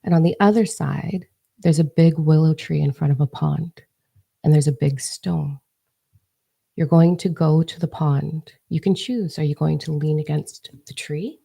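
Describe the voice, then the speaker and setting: A woman leading a guided inner-child meditation, speaking slow, soothing instructions and sometimes dropping to a soft whisper. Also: low-pitched, creaky.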